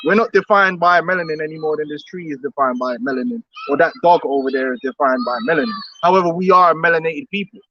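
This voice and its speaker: A man's voice: fairly high pitch, quick pace, hardly any pauses, lo-fi sound, a little hiss or hum. A man preaching outdoors on the street, emphatic and repetitive, often laughing between his points.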